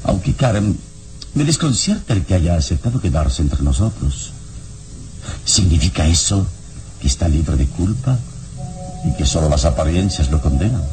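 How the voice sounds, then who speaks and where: An actor playing a calm, mysterious hero in a vintage radio serial, phrasing his words slowly and solemnly. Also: low voice, poor audio. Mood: watchful. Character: mysterious heroic man of keen senses, calm and solemn.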